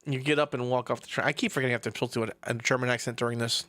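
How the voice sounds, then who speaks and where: A man streaming a visual novel, reading the text aloud with goofy, profane, expressive asides over music. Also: medium pitch, racing, no echo.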